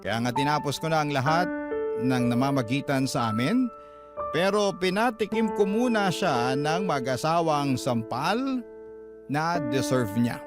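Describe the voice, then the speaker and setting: A male radio host with a Filipino accent and a fairly high voice reads a letter as dramatic narration. His delivery is emotive, phrase by phrase, with music clearly behind him. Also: lively intonation, measured pace. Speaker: radio show host reading a listener's letter.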